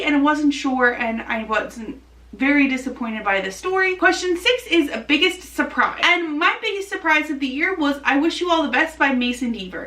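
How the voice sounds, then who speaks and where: A woman's voice: fairly high pitch, pushed and bright. A woman chatting casually and enthusiastically about books as a vlogger, recorded in a roomy space at some distance from the mic. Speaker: book vlogger. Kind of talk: casual book review chat to camera.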